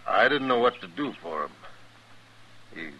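An actor playing a simple, anxious ranch hand in a radio western, halting, folksy and apologetic. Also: male voice, medium pitch, animated, lo-fi sound, a little hiss or hum, lightly acted. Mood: shaken, helpless. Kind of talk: 1950s radio western acting.

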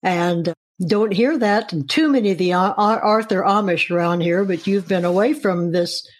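A woman's voice: mid-range voice, moderate pace. A woman hosting a casual interview, asking long, rambling questions with friendly restarts. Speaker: interview host.

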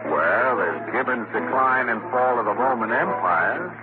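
A male actor plays a wry, inquisitive crime photographer from a 1940s radio drama. His delivery is brisk and casual, with lively swings in pitch. The sound is narrow and tinny, with music behind it. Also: natural voice. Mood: teasing.

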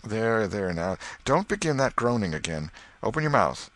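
A man's voice: lowish voice, very animated, moderate pace, fairly bright tone, no echo, pitched above the performer's own voice. A man voicing a stern but caring old aunt in an audiobook, with a no-nonsense, exasperated scolding manner. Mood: relieved, scolding. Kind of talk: audiobook character voice.

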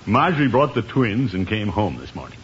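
Blustery, flustered middle-aged American uncle; very animated, sighing radio sitcom delivery, muffled vintage sound. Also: male voice, fairly low pitch, fairly quick, a little hiss or hum. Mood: scheming, blustery. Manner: hesitant, sighing, exasperated, swooping delivery. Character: flustered, put-upon middle-aged bachelor uncle, blustery and good-natured. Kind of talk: 1950s radio sitcom acting.

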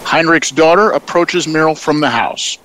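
A man's voice: a little high, moderate pace, over music, lightly acted, low-quality recording. A man reading scripted stage directions as the announcer of an audio play.

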